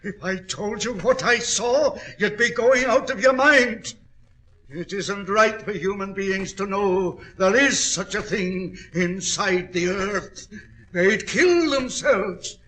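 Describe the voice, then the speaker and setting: An actor playing a miner crazed with terror, halting and anguished, in 1940s radio drama style. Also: male voice, high-pitched, a bit bright. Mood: haunted dread. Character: terror-crazed miner, a shaken strong man raving. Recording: old-time radio drama recording.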